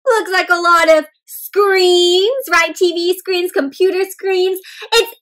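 Bright, high-voiced young woman reading to kids. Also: takes it slowly. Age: young adult. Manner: animated, exclaiming, addressing children as friends. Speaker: read-aloud host for children. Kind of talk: interactive storybook read-aloud with questions to young listeners. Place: indoor room with bookshelves.

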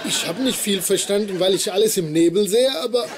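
A man's voice: high-pitched, fairly quick, background noise, lightly acted. An actor playing a lonely, polite young man who is drunk, in a dubbed sitcom. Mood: forlorn. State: drunk, as acted. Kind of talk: sitcom dialogue, German dub.

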